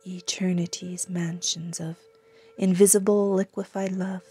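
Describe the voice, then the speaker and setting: A woman recites contemplative poetry very slowly in a soft, mellow voice. Her delivery is breathy and often sinks toward a whisper, with an even, restrained melody. Faint music sits behind her. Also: on the low side. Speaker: poet reciting own original poem.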